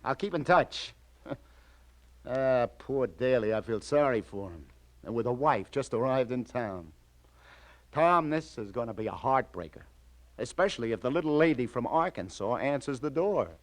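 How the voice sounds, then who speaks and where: A man acting as a city detective from an old radio crime drama. He is worldly and a little weary, yet kindly, and speaks in short, colloquial bursts at a middle pitch. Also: animated, moderate pace, close to an everyday voice. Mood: wry, sympathetic. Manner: colloquial, clipped, easygoing questioning. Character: streetwise male police detective lieutenant, weary but kindly.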